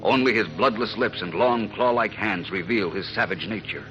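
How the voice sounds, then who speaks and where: A man's voice: fairly low pitch, moderate pace, pushed and bright, muffled recording, soft background music. A man narrating a 1940s radio serial in a dramatic, portentous announcer's manner.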